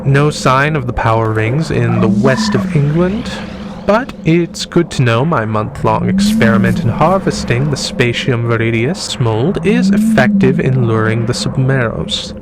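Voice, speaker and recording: A man's voice: medium pitch, expressive, a little slow, very noisy, natural voice. A man playing a smug, mocking time-travelling professor villain in an audio drama, condescending and amused, with a genteel manner. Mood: smug, musing.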